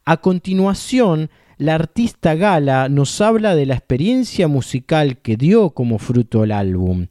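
A man's voice: a little high, sing-song, measured pace, dark tone, no echo, clean studio sound. A man presenting a radio music program, reading scripted introductions. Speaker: radio host.

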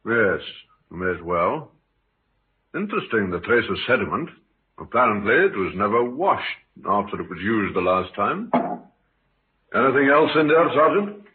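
Composed inspector on tinny radio. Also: male voice, on the low side, big pitch swings, moderate pace, husky, full character voice. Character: measured, courteous, shrewd English police inspector. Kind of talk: 1950s radio drama acting. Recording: tinny old radio, faint hiss.

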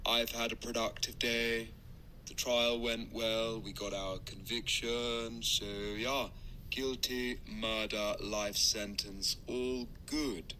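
An actor playing a dull, emotionless barrister in his thirties, leaving a halting, matter-of-fact voice message in an audio drama. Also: medium pitch, sharp tone, slight background noise. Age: 30s. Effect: phone voice note.

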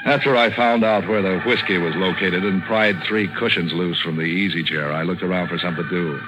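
An American actor playing a cynical, wisecracking private detective in deadpan, sardonic 1940s radio noir style, on an old broadcast recording. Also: male voice, sits low, lightly acted, low-quality recording. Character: wisecracking, cynical hard-boiled male hired detective narrating his case. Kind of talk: 1940s radio noir acting, first-person narration.

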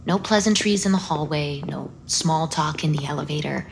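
Cold secretive vampire lady, low and gravelly, curt, formal, audio drama. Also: normal speed, noisy background. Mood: aloof, dismissive. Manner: curt, cool, formal, breathy at times. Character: secretive, aloof female vampire, curt and private.

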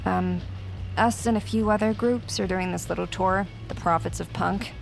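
An actress playing a curious, wry young punk-band musician in a naturalistic audio drama, with casual, hesitant delivery. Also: female voice, mid-range voice, steady tone, moderate pace, creaky, soft background music, low-quality recording. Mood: wistful, wry.

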